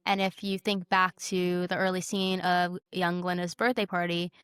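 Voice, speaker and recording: Smooth, clear-voiced woman chatting casually. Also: fairly low pitch, level tone, moderate pace, fairly bright tone, no echo, clean studio sound. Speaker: podcast co-host. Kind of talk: casual chat.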